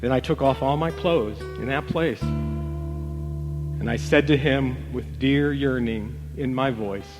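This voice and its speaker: A man telling a devotional parable as a dramatic storyteller, theatrical and emotive, with weighty pauses. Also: medium pitch, animated. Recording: long reverb, music bed behind voice. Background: acoustic guitar music.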